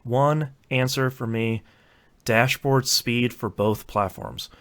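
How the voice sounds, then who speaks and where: A man chatting casually as a podcast host, informal and halting, often repeating words as he goes. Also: mid-range voice, fairly bright tone. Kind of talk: casual chat.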